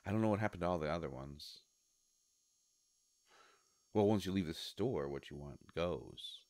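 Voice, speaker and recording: A man playing a sly devilish shopkeeper in a roleplay audio, coaxing and musing with hesitant pauses. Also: low voice, expressive, a little mellow, no echo. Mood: wry, coaxing. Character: sly devilish male shopkeeper of a wish-granting store. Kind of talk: scripted roleplay audio monologue.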